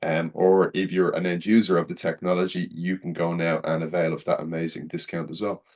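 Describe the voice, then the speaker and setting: Man, deep voice, informal promotional presenter, muffled lo-fi sound. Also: flat delivery, brisk, a little mellow. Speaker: company presenter.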